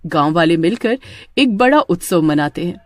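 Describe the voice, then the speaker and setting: A woman's voice: fairly low pitch, animated, moderate pace, darkish tone. A woman reading a scripted story as narrator, in a dramatic storytelling manner.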